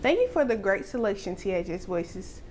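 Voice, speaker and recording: A woman's voice: mid-range voice, very animated, moderate pace, a little mellow, faint music behind. A woman presenting a school program, reading scripted narration.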